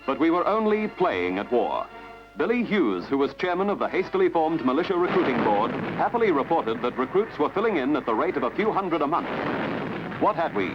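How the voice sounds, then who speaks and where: Male narrator, for documentary newsreel: animated intonation, dramatic and measured, dull archival soundtrack under prominent music. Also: fairly high pitch.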